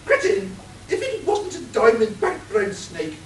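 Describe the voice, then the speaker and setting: A woman's voice: sing-song, a little slow. A woman playing a dim-witted titled lady detective in an amateur radio play, with a pompous, blustering manner. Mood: haughty. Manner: pompous, blustering, swooping pitch. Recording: roomy, distant, faint hiss.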